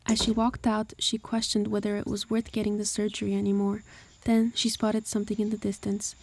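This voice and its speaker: A woman narrating a scripted horror story in a measured storytelling manner. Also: mid-range voice, a little mellow, rough recording. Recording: clear music behind the voice. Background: music.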